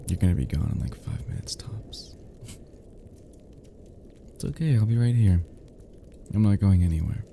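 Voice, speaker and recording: A man playing an affectionate, flirty close friend in an intimate romantic roleplay, speaking in a soft, teasing, breathy murmur with hushed pauses. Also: sits low, expressive, slight background noise. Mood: tender, soothing.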